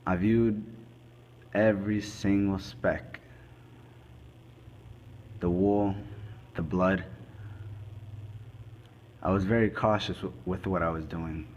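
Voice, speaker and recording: Man playing a low-voiced, grave crime investigator. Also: fairly even intonation, slow pace, long pauses, a little mellow, muffled recording, a little hiss or hum. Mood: grave, deliberate. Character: male forensic investigator recounting a murder case, grave and methodical. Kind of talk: scripted dramatic monologue, amateur student production.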